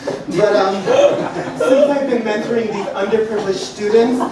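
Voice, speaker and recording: A man's voice: sits high, fairly even intonation, normal speed, background noise, lightly acted. Man as sanctimonious young teacher, echoey comedy stage. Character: sanctimonious cheerful young male teacher as amateur chef. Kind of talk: live sketch comedy. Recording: echoey theater, distant mic, audience laughter.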